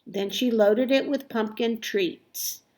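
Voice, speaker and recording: A woman reading a children's story aloud expressively, with deliberate, emphatic phrasing and dramatic pauses. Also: on the high side, a little mellow. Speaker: storybook reader.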